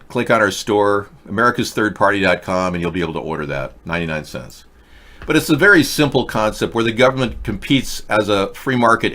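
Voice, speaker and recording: A man hosting a political talk show, pitching ideas in an earnest, rambling monologue. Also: fairly bright tone. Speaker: host.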